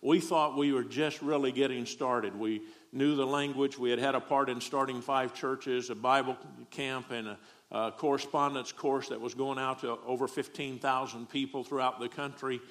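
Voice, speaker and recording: A man's voice: medium pitch, steady tone, normal speed, a bit bright. A middle-aged man from Texas with a Southern accent, preaching a folksy, joking sermon as a guest preacher.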